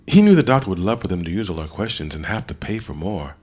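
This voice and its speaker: A man narrating an audiobook in a dramatic scripted style, expressive, with deliberate pauses. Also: sits low, husky.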